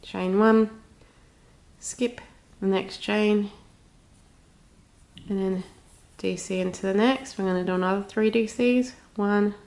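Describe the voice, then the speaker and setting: Snuffly, creaky-voiced woman teaching crochet. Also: mid-range voice, fairly even intonation, slow, pauses often, darkish tone. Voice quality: snuffly, slightly stuffed-up nose. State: has a cold. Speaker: crochet tutorial host. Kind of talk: casual step-by-step craft tutorial.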